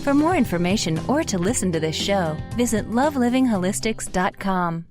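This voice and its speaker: A woman's voice: medium pitch, animated, normal speed. Woman reading a radio promo over music. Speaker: ad announcer. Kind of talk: scripted promotional read.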